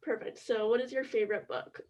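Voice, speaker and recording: A woman's voice: fairly high pitch, steady tone, a little fast, darkish tone. A young adult woman hosting an interview show in an upbeat, friendly way, saying "like" often. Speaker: podcast host. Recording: distant from the mic.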